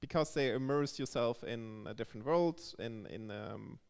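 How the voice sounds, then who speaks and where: Clear-voiced German man in a reverberant hall. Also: medium pitch, moderate pace. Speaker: guest, board game developer. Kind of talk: casual interview talk. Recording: echoey hall with long reverb.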